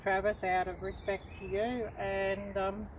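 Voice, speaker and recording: Slow-talking woman chatting on a livestream. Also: mid-range voice, little pitch movement, a little hiss or hum, rough recording. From Australia. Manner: unhurried, chatty, many ums. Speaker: livestream host. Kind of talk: casual livestream chat with viewers.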